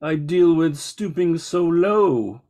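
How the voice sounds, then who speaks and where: A man's voice: fairly high pitch, lively intonation, slow pace, warm tone. A man playing a stuffy, fussy, indignant old-fashioned wealthy uncle in an old-time radio drama. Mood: puzzled, indignant.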